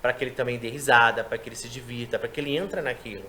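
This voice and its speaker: A man who works as a sign language interpreter, chatting in an interview and explaining his work in a lively way. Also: medium pitch, a little hiss or hum. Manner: quick, explanatory, animated delivery. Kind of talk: casual interview chat.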